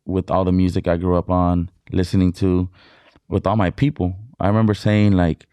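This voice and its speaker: Man, from Mexicali, DJ guest, lowish voice, dry close sound, excited casual storytelling, lots of filler words. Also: moderate pace, smooth, dry recording. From Mexicali, Baja California, Mexico. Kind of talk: casual interview chat.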